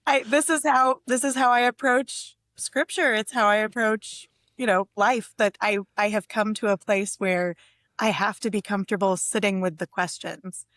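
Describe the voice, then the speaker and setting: A woman's voice: mid-range voice, fairly even intonation, moderate pace, a bit bright, no room sound. A woman speaking casually as a poet guest in an interview, reflective and tentative, with a self-amused way of talking.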